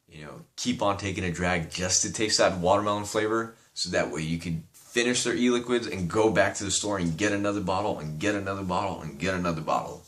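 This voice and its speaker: A man's voice: low-pitched, fairly bright tone. A young man with a slight Persian accent, rambling casually through a product review and swearing now and then. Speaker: vape reviewer.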